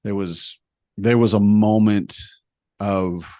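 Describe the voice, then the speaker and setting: A man telling a travel story in casual conversation, animated and poking fun at himself. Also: on the low side, slow pace, no echo, clean studio sound. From United States. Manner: animated, self-deprecating, humorous storyteller.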